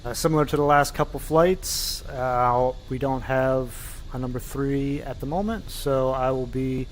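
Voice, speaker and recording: A man's voice: mid-range voice, measured pace, a little hiss or hum, rough recording. A man with a stuffy, slightly nasal voice narrating a simulated flight live, explaining as he goes with many fillers. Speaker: flight sim pilot streaming.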